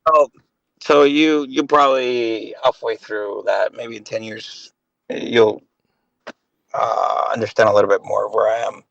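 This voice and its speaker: A man's voice: lowish voice, steady tone, measured pace, creaky, fairly bright tone, no echo, highs cut off. A man in his late thirties chatting casually on a voice call, rambling and hesitant with many fillers. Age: 30s. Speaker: gaming guest. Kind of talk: casual chat.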